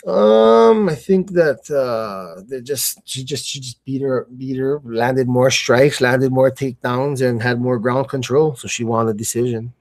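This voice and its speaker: A man giving casual, slangy live fight commentary, thinking aloud in a halting way. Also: mid-range voice, vocal fry, dry recording. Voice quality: slangy, informal speech.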